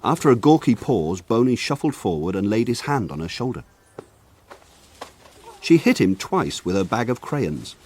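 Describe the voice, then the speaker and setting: An actor playing a grown man who narrates his rough village boyhood in a measured, wry radio drama style. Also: on the low side, big pitch swings, darkish tone. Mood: wry, reflective. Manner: measured, wry, lyrical phrasing. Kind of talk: scripted radio drama narration, literary storytelling.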